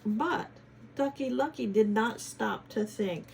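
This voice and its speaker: A woman reading a storybook to children in an expressive, teacherly way, adding chatty asides and questions. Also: a little high, takes it slowly, gravelly, a little hiss or hum. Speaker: children's read-aloud host, former teacher.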